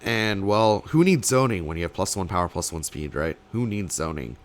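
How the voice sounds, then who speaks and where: A young man, slightly sick and losing his voice, casting a card game tournament alone in rambling play-by-play full of fillers, with sudden bursts of excitement. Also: low-pitched, normal speed. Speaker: tournament caster. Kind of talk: solo play-by-play commentary.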